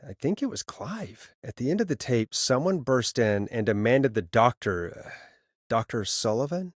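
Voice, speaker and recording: Wry, low-key male radio DJ, dry sound. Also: big pitch swings, moderate pace, no room sound. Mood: puzzled, investigative. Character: male late-night radio DJ turned uneasy amateur sleuth. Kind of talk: naturalistic video game voice acting.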